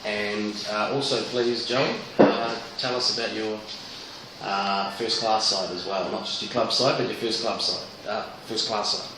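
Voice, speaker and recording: A man's voice: on the low side, fairly even intonation, unhurried, noisy background. A man hosting a radio interview, asking long, rambling questions. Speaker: radio host. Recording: echoey room, mic at a distance.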